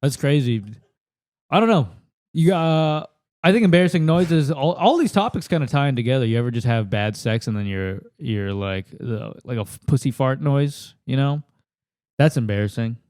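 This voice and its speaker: A man's voice: medium pitch, darkish tone, no echo, studio quality. A man from the United States doing an improvised, crude, self-deprecating comedy rant into a handheld mic at a table in a house. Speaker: comedian contestant. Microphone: handheld microphone.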